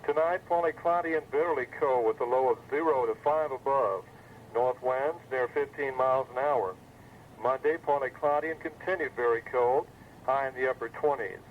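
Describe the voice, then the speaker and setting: A man's voice: medium pitch, normal speed. Male weather radio announcer, scripted forecast read, narrow phone-like 1980s broadcast sound with faint noise. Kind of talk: scripted weather bulletin reading.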